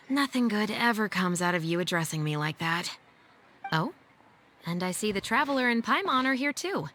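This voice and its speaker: An actress playing a level-headed female patrol captain, speaking in a dry, wry, measured way. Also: medium pitch, big pitch swings, bright, forceful tone, a little hiss or hum. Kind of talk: game voice acting.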